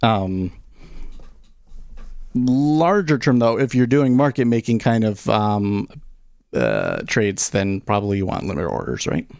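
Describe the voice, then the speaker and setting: Male livestream host, fairly deep voice, casual and conversational, thinks aloud, dry close sound. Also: sing-song, unhurried, vocal fry, pauses often, darkish tone, clear, resonant tone, no room sound. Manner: conversational, often checks in with "right?". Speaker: livestream host, day trader.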